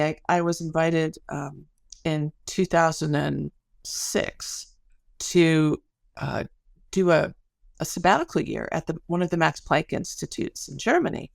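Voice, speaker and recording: A woman's voice: low voice, creaky, no room sound, clean studio sound. A middle-aged American woman explaining her research as an interview guest in an informal, articulate, storytelling way. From Chicago area, United States.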